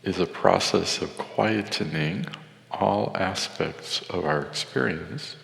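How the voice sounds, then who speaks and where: A man leading a guided meditation in a measured, contemplative way, with deliberate pauses. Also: low-pitched, creaky, fairly bright tone. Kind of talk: guided meditation with Sanskrit chanting. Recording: echoey hall with long reverb.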